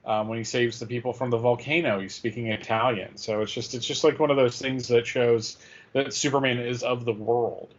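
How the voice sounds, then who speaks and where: A man's voice: medium pitch, steady tone, normal speed. A slightly lo-fi recording of a man co-hosting. He chats casually in a mid-range voice. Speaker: co-host. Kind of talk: casual chat.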